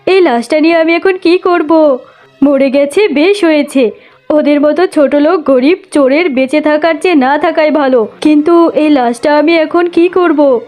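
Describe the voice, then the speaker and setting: A woman's voice: high voice, moderate pace, darkish tone, faint music behind, close to an everyday voice. A woman voicing a cruel, scornful tigress wife in a cartoon, scolding and exclaiming. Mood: scornful, callous.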